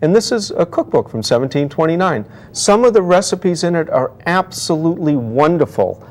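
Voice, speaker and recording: Male interview guest, antiquarian dealer type. Fairly high pitch, chatty, explains things through simple examples. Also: expressive, normal speed, darkish tone. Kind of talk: conversational interview, explaining his trade.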